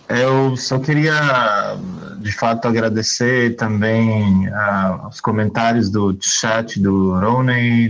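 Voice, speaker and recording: Hesitant man with a slow, gravelly voice. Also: on the low side. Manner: hesitant, many fillers and restarts. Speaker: filmmaker and researcher. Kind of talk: casual panel talk. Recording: dull lo-fi online call audio.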